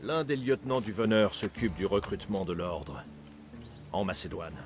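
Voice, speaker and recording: A man acting a stern, secretive older assassin and father in a dubbed video game, terse and measured. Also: fairly low pitch, animated, close to an everyday voice. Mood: guarded, commanding.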